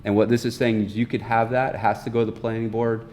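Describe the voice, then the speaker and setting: A man's voice: on the low side, little pitch movement, quick pace, darkish tone. A man on a town board explaining things informally in a plain-spoken way at a meeting. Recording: faint background noise in meeting room.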